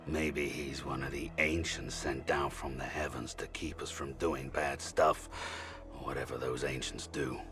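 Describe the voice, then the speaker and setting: A man voicing a gruff, sardonic, world-weary mutant stalker in a video game, muttering tersely. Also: very deep voice, level tone, measured pace, music in the background. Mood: wry. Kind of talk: video game character acting.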